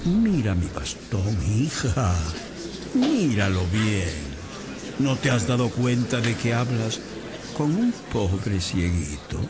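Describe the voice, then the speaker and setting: A man's voice: mid-range voice, big pitch swings, takes it slowly, music in the background. An actor playing a wheedling, cunning blind beggar man in a radio drama, with an insinuating, persuasive manner. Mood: coaxing. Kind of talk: Spanish-language radio drama acting.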